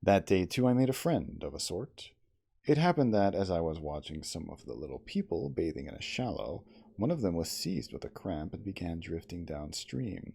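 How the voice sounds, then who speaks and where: A man reading literary fiction aloud in a measured, theatrical, formal storytelling manner, in an ASMR style. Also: sits low, sing-song, gravelly, darkish tone, slightly rough. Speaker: audiobook-style reader.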